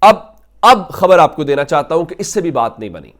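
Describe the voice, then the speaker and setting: Higher-pitched Pakistani man, political commentator. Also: big pitch swings, moderate pace. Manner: emphatic, poses rhetorical questions. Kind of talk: political news commentary addressed to viewers.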